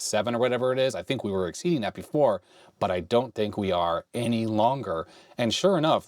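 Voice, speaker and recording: A man's voice: fairly low pitch, no room sound, clean studio sound. A man hosting a retro computer tech vlog, thinking aloud and a little sick with a fading voice. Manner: thinking aloud, hesitant self-questioning. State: slightly sick, losing his voice. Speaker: host of a retro computer channel. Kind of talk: casual tech vlog explaining on screen.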